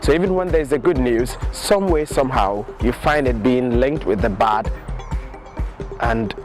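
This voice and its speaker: Young guy from ghana talking outdoors, lapel mic, emphatic, repeats himself for stress, mid pitch, faint music behind. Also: normal speed, darkish tone. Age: young adult. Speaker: interviewee. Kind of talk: earnest to-camera interview answer. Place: outdoors on a campus lawn.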